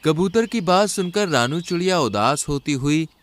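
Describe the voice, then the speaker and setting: A man's voice: fairly high pitch, lively intonation, normal speed, slight background noise. A man narrating a scripted children's cartoon story.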